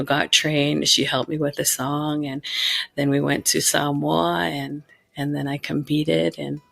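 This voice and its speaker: A woman talks through her story in a loose, meandering way, circling back with lots of "you know". Her voice is gravelly with a flat, level pitch. She is a guest on a podcast. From born in Hawaii, raised in Utah. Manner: rambling, many restarts and "you know". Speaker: guest, pageant founder.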